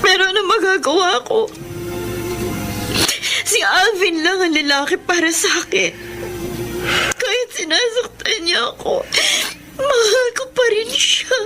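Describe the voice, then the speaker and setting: A lovesick young Filipino woman with a high voice. She pleads earnestly and tearfully in radio drama style, over faint music. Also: animated, measured pace, pauses often, poor audio. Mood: anguished, crying. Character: lovesick, pleading young woman clinging to her cheating boyfriend.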